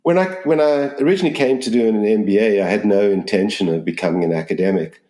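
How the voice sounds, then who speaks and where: A man in his sixties from Zimbabwe with a mixed Southern African accent, answering interview questions in a measured, explanatory way. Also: lowish voice, dark tone, reverberant. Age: 60s. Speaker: business school dean, interview guest.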